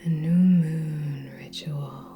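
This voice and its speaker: A woman speaking soft, breathy ASMR in a near-whisper, gently hosting with long pauses between short phrases. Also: sits low, steady tone, muffled recording. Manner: slow, gentle, hushed delivery with long pauses. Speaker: ASMR channel host. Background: relaxing music with singing bowl tones.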